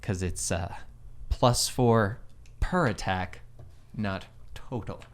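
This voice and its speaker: A man's voice: lowish voice, slow. A man chatting casually as a player in a tabletop role-playing game.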